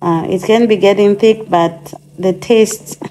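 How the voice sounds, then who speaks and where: A woman hosting her own DIY channel, chatting through a cooking demonstration in her home kitchen, her voice a little hoarse from a cold and full of casual ums as she thinks aloud. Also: mid-range voice, fairly even intonation, a little slow, warm tone, a little hiss or hum, poor audio.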